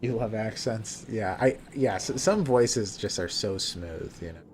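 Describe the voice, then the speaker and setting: A man casually chatting while he plays a game on a live stream, talking in a relaxed, soothing way and often restarting his sentences. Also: lowish voice, measured pace, slight background noise. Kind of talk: casual live gameplay commentary. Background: game music.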